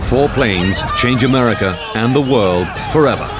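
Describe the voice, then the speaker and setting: A man's voice: medium pitch, moderate pace. A man reading dramatic scripted narration as a documentary narrator. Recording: loud music and effects behind.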